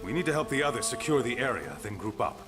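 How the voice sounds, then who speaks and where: An actor playing a cautious, steady soldier in a fantasy game, with a terse, serious manner. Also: male voice, mid-range voice, fast talker, natural voice. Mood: cautioning. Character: cautious, steady male soldier, tactical and sober. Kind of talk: scripted fantasy game voice acting. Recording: game music behind the voice.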